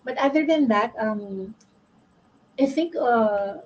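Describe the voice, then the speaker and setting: A woman's voice: a little high, slow, a little mellow, a little hiss or hum, rough recording. A woman from the Philippines with a Filipino accent, earnestly explaining her answer as an author on a panel.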